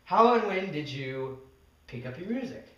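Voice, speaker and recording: Man acting as eager polite interviewer, raised animated voice, amateur newsreel script. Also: a little high, moderate pace, distant mic, dull audio. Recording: echoey room, away from mic.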